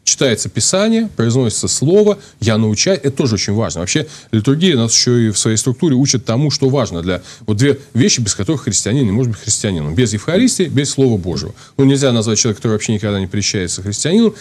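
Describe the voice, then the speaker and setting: Fast-talking priest on a studio talk show. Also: male voice, mid-range voice, very animated, a little mellow. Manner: fast, thinking aloud with many restarts and fillers. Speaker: priest guest. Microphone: lapel.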